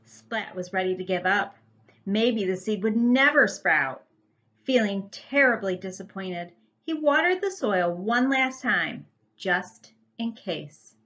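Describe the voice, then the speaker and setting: Female primary teacher for a children's read-aloud: unhurried, very expressive, emphatic, slightly lo-fi sound. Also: mid-range voice. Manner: animated, emphatic storytelling. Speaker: first grade teacher reading to pupils.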